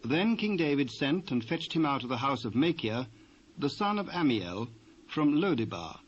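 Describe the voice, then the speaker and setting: A man with a British accent narrating scripture in a formal, measured way. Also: medium pitch, big pitch swings. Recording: dull, faintly noisy old cassette transfer.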